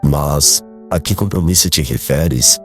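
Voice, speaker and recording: Very deep, gentle old father, acted by a man. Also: faint music behind. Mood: gently reproachful. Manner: measured, gentle, formal. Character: grieving, calm, kindly old rural father. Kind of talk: dramatized audiobook acting.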